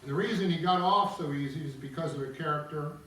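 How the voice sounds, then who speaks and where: A man's voice: on the high side, fast, a bit bright. A man reading stage directions aloud as the narrator of a musical table read. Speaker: narrator and singer of a self-written opera. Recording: distant, very echoey room with music.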